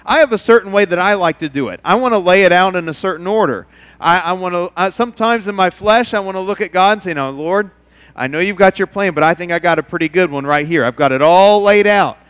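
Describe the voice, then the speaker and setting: High-voiced pastor preaching in a church hall. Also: male voice, fast, smooth. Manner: animated preaching, acts out God's voice in quotes. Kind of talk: sermon. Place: church sanctuary stage.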